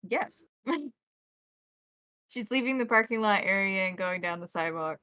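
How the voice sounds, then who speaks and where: A woman with a medium-pitched voice serves as game master for a tabletop roleplaying session. She moves between descriptive narration of the scene and plain talk with the players about rules and rolls. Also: moderate pace, sharp tone, smooth, no room sound.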